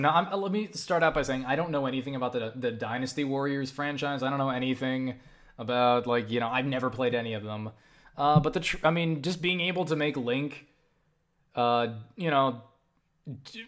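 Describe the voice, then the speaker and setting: A young man answering viewer questions in a casual vlog, rambling and swearing, with many restarts. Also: fairly high pitch. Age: young adult. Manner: rambling, profane, animated with frequent restarts.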